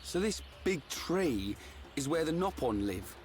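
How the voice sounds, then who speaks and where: A man's voice: fairly high pitch, very animated, a little slow, over music. An actor playing a big, blunt young male fighter with an informal manner and a British accent in a video game. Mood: casual, curious. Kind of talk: video game cutscene acting.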